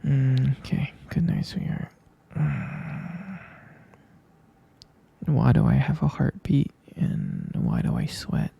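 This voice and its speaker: Gentle half-vampire boyfriend, performed by a man, ASMR roleplay. Heavy vocal fry, drowsy halting whispers, unhurried and soothing. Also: medium pitch, huge pitch swings, highs cut off, full character voice. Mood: sleepy, explaining. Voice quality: breathy, husky whisper, gravelly fry.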